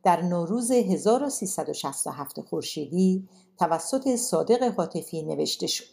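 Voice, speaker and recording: A woman's voice: fairly low pitch, vocal fry, a little mellow. A woman reading scripted credits as the announcer of a radio play.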